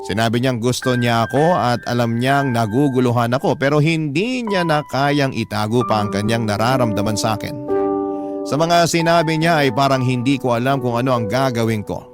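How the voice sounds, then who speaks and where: A man narrating an emotional first-person radio story in a measured, sentimental way. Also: medium pitch. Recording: loud music bed over the voice.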